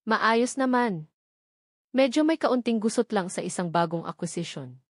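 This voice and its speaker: A woman's voice: mid-range voice, expressive, normal speed, no echo, studio quality, lightly acted. A woman with a Filipino accent voicing a worried, determined businessman and devoted husband in an audio drama, serious and firm. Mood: concerned.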